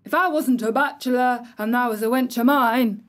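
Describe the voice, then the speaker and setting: A woman voicing a grumpy old male gardener with a Yorkshire accent, shouting and scolding in a storybook reading. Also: on the high side, normal speed, voice pushed up, lightly acted.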